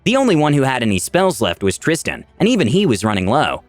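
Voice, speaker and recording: A man's voice: mid-range voice, huge pitch swings, fast, dry recording. A man narrating a scripted first-person fantasy adventure story with dramatic delivery. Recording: background music under dry voice.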